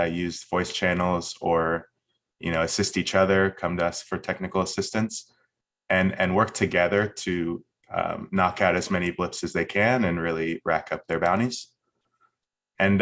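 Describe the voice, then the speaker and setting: A man giving a relaxed, explanatory update about a community event during an online meeting. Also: deep voice. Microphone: video call.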